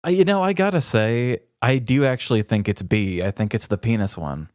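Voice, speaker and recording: A man co-hosting a podcast in casual comedic banter, reacting in an animated, playful way. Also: medium pitch, normal speed, no room sound, clean studio sound. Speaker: podcast co-host.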